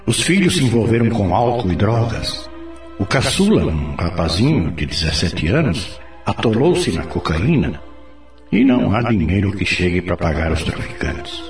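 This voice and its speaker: A Brazilian man playing a patient, authoritative spirit mentor in a radio drama, explaining things in a didactic, expressive way. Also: fairly low pitch, moderate pace. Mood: grave, explanatory. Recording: roomy, distant, music behind.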